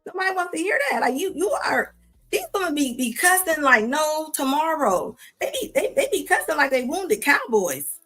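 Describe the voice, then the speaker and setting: A woman's voice: sits high, very animated, moderate pace, fairly bright tone, soft background music. A woman hosting a live show, reacting to clips in a sassy, scolding tone with casual slang, often repeating herself. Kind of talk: live commentary on videos.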